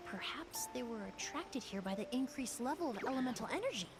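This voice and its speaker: A woman voicing a composed, analytical young woman investigator in a video game, measured, formal and resolute. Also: high voice, lively intonation, over music. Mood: thoughtful.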